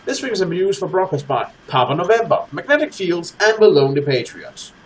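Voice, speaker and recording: A man hosting a gaming let's play, chatting casually to his audience and reading game text aloud in an animated way. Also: high-pitched, fast talker, a little hiss or hum.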